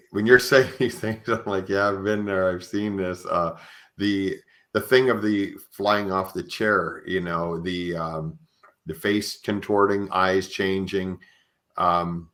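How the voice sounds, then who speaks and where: A man's voice: low voice, fairly bright tone. A man hosting an interview podcast, talking conversationally in an earnest, explanatory way with repeated starts to his phrases. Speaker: podcast host. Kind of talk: conversational interview, sharing religious experiences.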